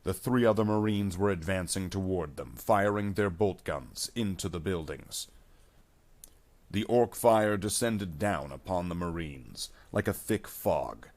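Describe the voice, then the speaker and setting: A man reading a scripted action story aloud in a measured, dramatic way as its narrator. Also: deep voice, animated, darkish tone.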